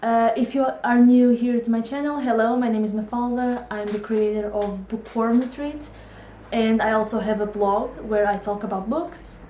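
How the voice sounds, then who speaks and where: A woman's voice: a little high, steady tone, normal speed, dark tone, roomy sound, slight background noise. A woman in her twenties from Portugal with a Portuguese accent, chatting casually to camera about books, laughing often and hesitating with fillers. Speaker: book vlogger.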